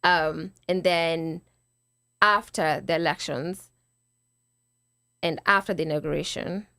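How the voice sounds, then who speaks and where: A woman's voice: sits low, steady tone, takes it slowly, pushed and bright, no room sound, studio quality. A middle-aged Kenyan woman, a podcast guest, chatting casually with a slightly nasal voice, often restarting and quoting herself. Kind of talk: casual interview chat.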